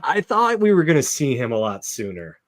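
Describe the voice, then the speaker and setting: Man, mid-pitched voice, panel host, casual fan chat, close dry sound. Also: wildly swooping pitch, a little fast, no room sound. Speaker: host of a fan discussion show.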